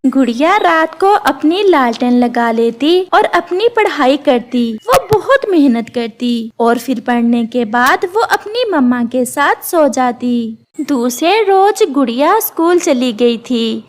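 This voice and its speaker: A woman narrating a scripted children's cartoon story. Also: high-pitched.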